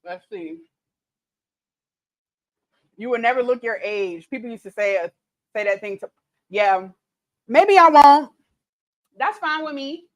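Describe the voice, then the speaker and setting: Chatty high-voiced woman in her late thirties. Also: animated, measured pace, pauses often. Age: late 30s. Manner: chatty, laughing, reads viewer comments aloud. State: tipsy from mimosas. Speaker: cooking livestream host. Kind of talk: casual livestream chat.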